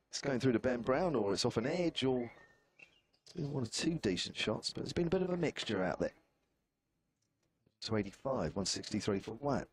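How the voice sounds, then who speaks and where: A man with a southern English accent doing live cricket commentary, wry and chatty. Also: medium pitch. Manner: chatty, wry, self-deprecating. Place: outdoor commentary position at a cricket ground.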